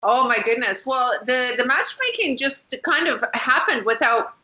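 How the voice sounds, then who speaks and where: A woman being interviewed, telling her story in an animated, chatty way. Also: high voice, normal speed, poor audio. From Canada. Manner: animated, chatty, with frequent restarts and laughs. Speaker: guest, matchmaker.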